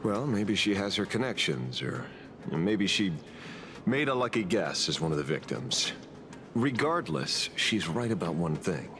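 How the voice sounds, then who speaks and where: A man's voice: sits low, sing-song, measured pace, exaggerated voice. A man playing a sly, teasing veteran investigator in a video game, with a casual, wry and theatrical delivery. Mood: wry, suspicious. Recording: music behind the voice.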